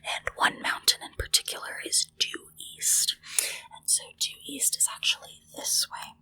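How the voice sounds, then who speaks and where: A woman whispering gently and deliberately into a close microphone, leading a ritual in ASMR style with hushed pauses. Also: low-quality recording. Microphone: close-up sensitive microphone.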